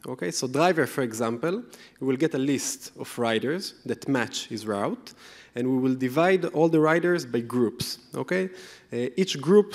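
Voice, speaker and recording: A man's voice: mid-range voice, creaky. Man, Israeli accent, product manager, explanatory, checks in with okay, hall reverb. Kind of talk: explaining to a live audience.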